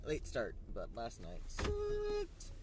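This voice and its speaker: A man's voice: fairly high pitch, huge pitch swings, extremely slow. A man hosting a casual gaming news recap from his car on the way to work, congested and nasal with a sore throat, chatty and rambling. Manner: chatty, rambling, with fillers and asides. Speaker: host of a gaming commute vlog. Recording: car road noise. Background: car and traffic noise.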